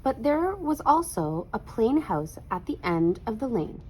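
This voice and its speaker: A woman narrating a children's picture book aloud in an expressive read-aloud style. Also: on the high side, unhurried, a little hiss or hum, poor audio.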